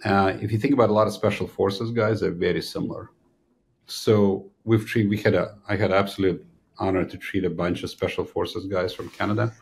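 A man in his sixties, born in Ukraine, hosting an interview in a small studio on a desk podcast mic, explaining in an animated way. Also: sits low, brisk, gravelly. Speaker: physician host. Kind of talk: interview conversation. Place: small studio room with a banner.

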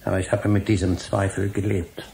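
Radio drama: a guilt-ridden scientist, grave, desperate, low creak in the voice, slight noise. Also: male voice, little pitch movement, brisk, mellow. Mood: anguished. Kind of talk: German radio drama acting.

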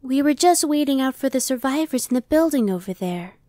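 A woman's voice: sits high, animated, brisk, mellow, dry recording. A woman playing a casual, dry-witted female demon scout in an ASMR roleplay audio drama. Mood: calm, watchful. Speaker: voice actor.

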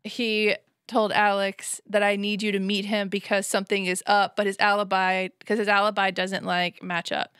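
A woman's voice: mid-range voice, flat delivery, normal speed, a bit bright, clean tone, no echo. A middle-aged woman co-hosting a podcast, casually recapping a book's plot on a studio mic. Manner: recaps plot, acting out characters' lines in quotes. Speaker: podcast co-host. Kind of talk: casual book discussion. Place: small studio, seated. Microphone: studio mic on boom arm, headphones.

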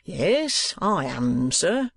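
Man acting a pompous, high-pitched oily schoolmaster. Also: very animated, very slow, creaky, fairly bright tone, husky, dry recording, full character voice. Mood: oily, boastful. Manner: pompous, oily sales patter. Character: boastful, ingratiating, hypocritical schoolmaster salesman, middle-aged man.

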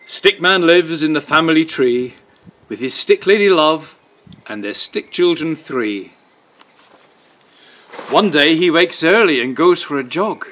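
A man in his sixties reads a children's story outdoors. He goes slowly, pausing between phrases, with lively rises and falls in pitch. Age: 60s. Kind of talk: expressive picture-book reading to young children. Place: outdoors in a garden by wooden fencing.

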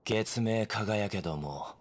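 Slow, deep solemn sage. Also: male voice. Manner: solemn, archaic, poetic phrasing. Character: solemn, cryptic hooded male sage. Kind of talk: Japanese video game cutscene acting.

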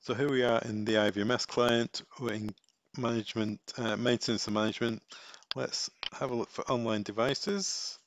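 A man giving a casual step-by-step software tutorial, thinking aloud as he works. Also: medium pitch, steady tone, normal speed, slight background noise.

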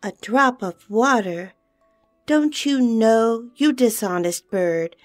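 A woman voicing a proud, hot-tempered female fairy of a fountain, speaking in a shrill, imperious way. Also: a little mellow, dry recording, lightly acted.

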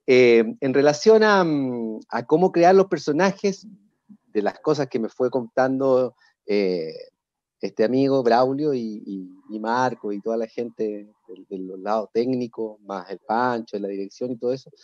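A man's voice: medium pitch, expressive, a little slow, darkish tone, no room sound. A middle-aged man with a Chilean accent, an actor explaining his craft expressively in an online panel. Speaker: actor in a theatre company. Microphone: video call. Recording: dull, dry video call audio.

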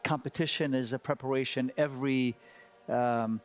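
A man in his fifties interviewing on stage in a conversational, halting way, repeating words as he thinks. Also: mid-range voice, fairly even intonation. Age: 50s. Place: large studio stage, indoors. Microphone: headset.

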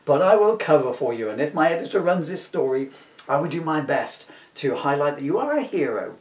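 Man playing an indignant, animated female news reporter. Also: fairly high pitch, fairly quick, echoey room, far from the mic. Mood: supportive.

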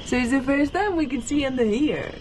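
Young woman, casual boat-repair vlogger, slightly high voice, chatty and quick to laugh, camera held at arm's length, clear workshop noise behind. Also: very animated. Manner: chatty, laughs easily, explains as she shows. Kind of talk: casual vlog chat. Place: inside a boat cabin under renovation. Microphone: handheld wide-angle camera at arm's length. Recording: noisy boat workshop ambience.

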